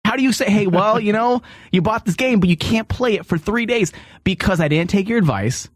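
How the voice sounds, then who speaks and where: A middle-aged man hosting a talk show with casual comic banter and animated, incredulous questioning. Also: high voice, brisk, dry recording, studio quality.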